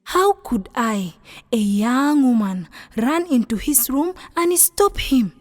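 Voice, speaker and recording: Earnest young woman, high-voiced. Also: very animated, slow, full character voice. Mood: troubled, earnest. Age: young adult. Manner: earnest, emotive. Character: anxious, compassionate young village woman telling her story. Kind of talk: first-person radio drama narration and acting.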